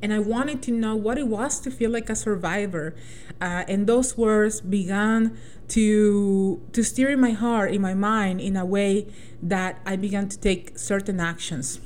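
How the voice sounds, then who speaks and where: Woman radio host from El Salvador, middle-aged, mid-pitched, earnest and reflective, faint studio hum. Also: measured pace, darkish tone. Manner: earnest, reflective, with many restarts and "right?" tags. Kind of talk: personal reflective radio talk.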